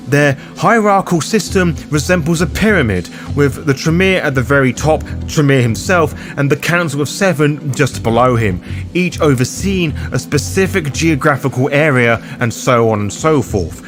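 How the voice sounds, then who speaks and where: A man reading a scripted lore guide for roleplayers, addressing the listener in formal, ornate phrasing. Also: a little high. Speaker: host and narrator of a roleplaying guide. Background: background music under the voice.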